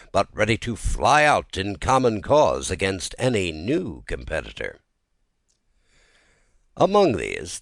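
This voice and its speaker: Male narrator for classic literature audiobook: low register, highly animated theatrical storytelling, dry studio-style sound. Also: dry recording.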